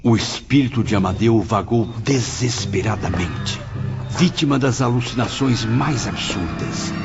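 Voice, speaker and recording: A man's voice: medium pitch, gravelly. A man narrating an audiobook in a scripted, dramatic storytelling style. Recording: muffled lo-fi audio, loud music behind.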